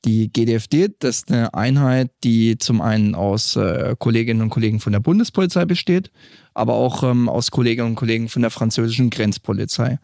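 A man with a gravelly, creaky voice talks through how police patrols work, as a former group leader. He explains steadily and stops briefly now and then to find the next word. The studio sound is dry and close. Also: mid-range voice, brisk, a little mellow, dry recording. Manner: explanatory, with some hesitations.

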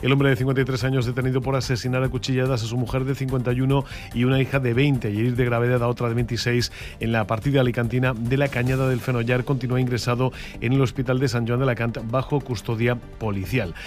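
A man anchoring a radio news bulletin, reading the items in a measured, formal way. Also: medium pitch, level tone, darkish tone, faint music behind. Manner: measured, formal newsreader delivery.

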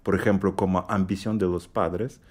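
A man with a Russian accent speaking Spanish as a guest in a radio interview, halting and searching for words with many fillers. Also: low voice, fairly even intonation, a little mellow. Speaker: guest pianist. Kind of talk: interview conversation.

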